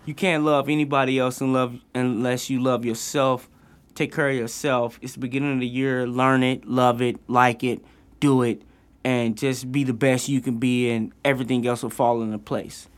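A young man from St. Louis co-hosts a podcast in easy, slangy conversation. He shouts out local artists and spells their handles out slowly, one letter at a time. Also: medium pitch, level tone, clear, resonant tone. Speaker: podcast co-host. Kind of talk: casual chat.

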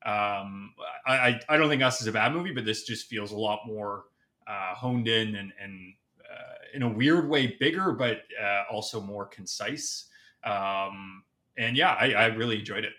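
A man's voice: on the low side, moderate pace, pushed and bright. A man speaking as a podcast guest, giving a casual film review and searching for his words.

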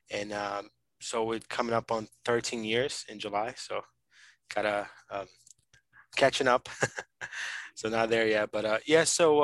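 A man's voice: lowish voice, fairly bright tone, dry recording, low-quality recording. Earnest Brazilian-born man, dry sound. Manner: earnest, fast, many fillers and restarts. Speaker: podcast host, PhD student. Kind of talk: casual conversational interview.

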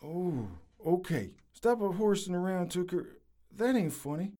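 A man playing a gruff, folksy, skeptical teammate who drawls, in an audio drama. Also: high voice, lively intonation, unhurried, warm tone.

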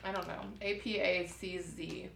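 A woman's voice: on the low side, flat delivery, creaky, fairly bright tone, a little hiss or hum. A woman co-hosting a podcast, chatting casually and giggling as she tastes snacks with a friend. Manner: chatty, giggly, quick asides. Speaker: podcast co-host. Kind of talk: casual snack-tasting chat. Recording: roomy echo.

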